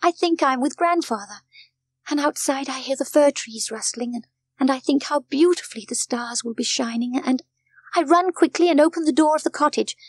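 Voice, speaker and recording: A woman voicing a gentle, homesick little girl in a storybook, speaking in an earnest, hesitant way. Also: sits high, brisk, no room sound, pitched above the performer's own voice, natural voice. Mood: wistful, homesick.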